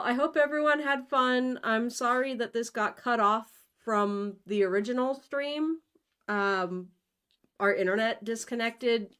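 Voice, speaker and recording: A woman's voice: medium pitch, fairly bright tone, smooth. A woman co-hosting a livestream, talking and reading aloud in a playful, informal way. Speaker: co-host of a book-reading livestream. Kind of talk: casual livestream chat and read-aloud.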